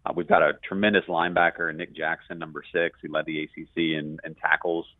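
Low voiced guy chatting football as a radio guest, very dry muffled audio. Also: level tone, fast, dry recording. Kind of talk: conversational sports analysis.

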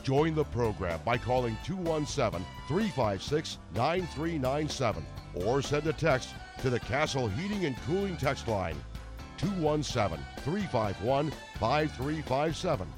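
Music with guitar plays clearly under a man announcing a radio show. He reads his script with a lively, varied pitch in a medium register. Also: measured pace. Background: guitar music bed.

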